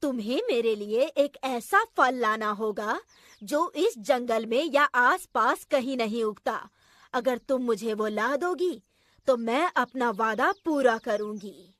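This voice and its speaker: A woman's voice: fairly high pitch, lively intonation, slow pace, fairly bright tone, no echo, studio quality. A woman voicing a wicked, scheming forest witch with a taunting manner in a children's cartoon story. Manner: taunting, cackling.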